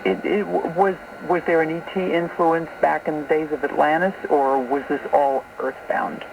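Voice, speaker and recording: A woman's voice: deep voice, fairly even intonation, moderate pace, gravelly. A woman hosting a radio interview, putting short, direct questions, taped from a shortwave broadcast. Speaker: radio host. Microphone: radio broadcast, taped off air. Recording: distant, noisy shortwave radio broadcast on cassette.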